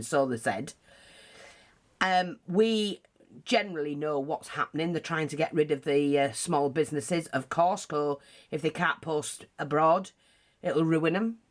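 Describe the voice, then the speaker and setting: A craft teacher with a British accent, nose bunged up, chatting casually through a tutorial with frequent asides. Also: medium pitch. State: blocked nose.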